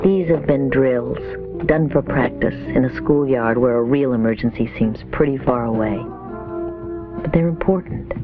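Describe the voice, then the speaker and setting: A man's voice: mid-range voice, normal speed, over music. A man reading scripted instructional narration for a 1970s training film. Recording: tinny, noisy 1970s training film soundtrack.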